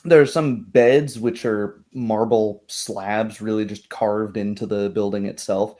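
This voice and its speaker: A man acting as game master, improvising narration for a tabletop roleplay in hesitant, pausing phrases. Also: on the low side, fairly even intonation, mellow.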